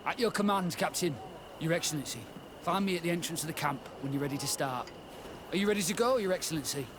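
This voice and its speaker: An actor playing a dutiful army corporal in a video game, formal and deferential. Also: male voice, on the high side, fast, noisy background, natural voice. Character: dutiful male army corporal, grim toward his enemies.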